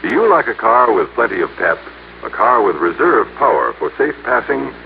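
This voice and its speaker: A male American announcer reads scripted copy in 1960s radio style. His pitch moves freely with a creaky edge, and the old recording sounds thin. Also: sing-song, moderate pace, phone-quality sound. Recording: old, band-limited, hissy radio recording.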